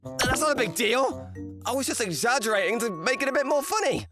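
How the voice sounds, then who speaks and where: Woman voicing a whiny, entitled manga husband, very animated. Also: a little high, a little fast, over music. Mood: defensive. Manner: defensive, whining complaints. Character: sullen, whiny, entitled husband who complains about food. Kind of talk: manga dub acting.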